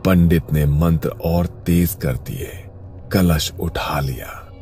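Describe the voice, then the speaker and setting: A man narrates a horror tale in an extremely deep voice. His scripted delivery is slow and suspenseful, with music playing clearly behind him. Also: steady tone, warm tone. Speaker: story narrator.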